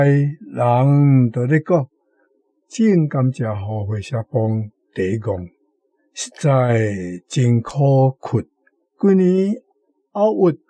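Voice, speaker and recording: Male radio commentator, Taiwanese accent: didactic, mellow, slow, word-by-word explanations, dry sound. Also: mid-range voice, no echo. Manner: didactic, repeats key words slowly. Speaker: guest commentator on a radio programme. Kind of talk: explanatory talk on words and meanings.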